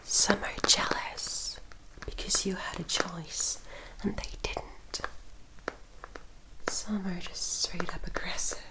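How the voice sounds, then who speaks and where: A woman acts as a composed female vampire attendant in an ASMR roleplay. She speaks in a breathy whisper at a very unhurried pace. Her manner is formal and caring, like an older sister gently cautioning a newcomer. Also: lowish voice, rough recording. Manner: slow, measured, formal, gently instructive.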